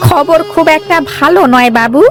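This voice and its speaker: A dutiful servant, voiced by a woman in an animated story, with a high voice. The delivery is earnest and deferential, like one reporting to an employer. Faint music plays behind. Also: moderate pace, natural voice. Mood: grave, reporting. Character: loyal, dutiful servant informant. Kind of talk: animated moral story voice acting.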